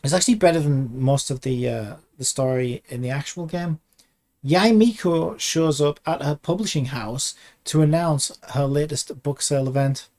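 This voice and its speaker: A man's voice: on the high side, moderate pace, a little mellow. A young man giving casual comic commentary as a streamer, reading out a script and riffing on it.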